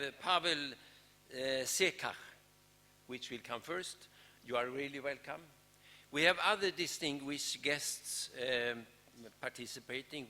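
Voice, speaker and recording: A man moderating a conference opening and welcoming guests, halting often with fillers as he searches for names. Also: medium pitch, sharp tone. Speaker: event moderator. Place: large conference hall. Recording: long hall reverb.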